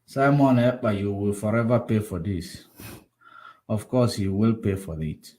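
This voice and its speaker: A man hosting a political livestream, combative and repetitive, swinging between loud outbursts and low muttering. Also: measured pace. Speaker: livestream host. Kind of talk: casual political commentary livestream.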